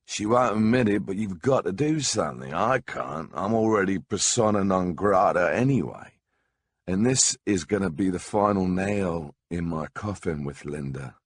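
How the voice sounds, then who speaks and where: A man's voice: deep voice, expressive, moderate pace, slightly rough, no room sound, studio quality. A man narrating a first-person memoir audiobook in a wry, conversational storytelling manner.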